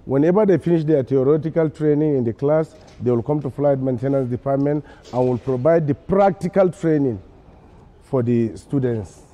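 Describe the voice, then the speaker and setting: A man's voice: medium pitch, normal speed, dark tone. A man with a Nigerian accent explaining his work as a maintenance instructor in an unscripted interview, on a dull recording. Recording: dull, band-limited sound.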